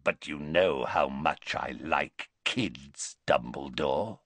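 A man voicing a savage male werewolf with a rasping, barking voice, slow and menacing, in a dry storybook reading. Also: rumbling low, fairly bright tone, no room sound, voice pushed down, exaggerated voice. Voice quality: rasping bark.

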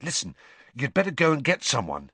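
A man reading aloud and voicing an alarmed teenage schoolboy who speaks loudly and insistently. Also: medium pitch, huge pitch swings, brisk, slightly rough, no echo, voice pushed up, heavily acted. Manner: loud, insistent. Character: teenage boy, urgent and alarmed.

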